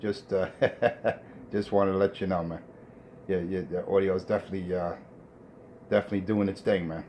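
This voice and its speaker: A man's voice: low voice, steady tone, moderate pace, darkish tone, slight background noise. A man chatting casually over the radio, affirming and ending lots of phrases with "man". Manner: says "man" often, affirming. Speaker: radio contact.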